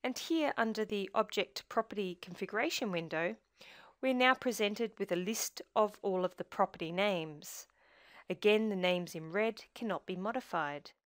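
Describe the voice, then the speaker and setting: A woman presenting a software tutorial in scripted screencast narration, giving measured step-by-step instruction. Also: mid-range voice, dry recording, studio quality.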